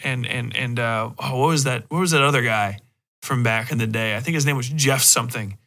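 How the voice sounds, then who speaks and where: A man with a bright, forceful voice talks as a podcast host. He rambles casually through his monologue in an animated way, swearing often and freely. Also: medium pitch, brisk, creaky. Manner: rambling, animated, heavily profane.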